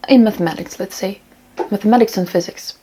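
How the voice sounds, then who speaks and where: Woman, husky, relaxed tone, casual vlog advice, rambling, repetitive for emphasis. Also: mid-range voice, a little fast, low-quality recording. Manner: rambling, repeats phrases for emphasis. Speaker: self-improvement vlogger. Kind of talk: casual talk, giving tips and reading out comments.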